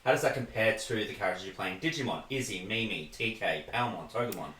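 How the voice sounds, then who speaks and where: A man's voice: lowish voice, fast talker, a bit bright, roomy sound, rough recording. A man hosting a casual interview in a jokey, informal way. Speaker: interview host.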